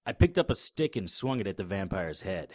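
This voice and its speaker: A man's voice: low-pitched, very animated, brisk, a little mellow, slightly rough, no echo, clean studio sound. A man narrating an audio drama in the first person, wry and matter-of-fact. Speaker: narrator and lead actor.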